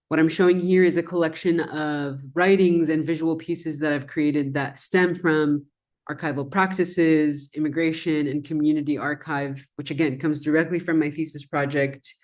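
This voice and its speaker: Woman, native Arabic speaker, slide presentation, thoughtful, conversational, casual fillers. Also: deep voice. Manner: reflective and conversational, with frequent "um" and "kind of". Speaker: design educator presenting her research. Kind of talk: presentation talk walking through slides.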